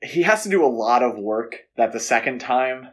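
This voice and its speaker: A man with a medium-pitched voice talks as a podcast co-host. He discusses films casually and conversationally, slipping in wry asides. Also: animated, normal speed.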